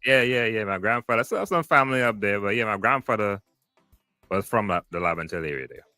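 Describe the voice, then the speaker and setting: A man with a very deep voice hosts a podcast in a relaxed, easygoing way, stumbling through questions with fillers. His tone is bright and forceful. The sound is dry and close, with faint music underneath. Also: fast talker, no room sound. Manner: relaxed, loose with fillers and restarts. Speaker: podcast host. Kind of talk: casual interview.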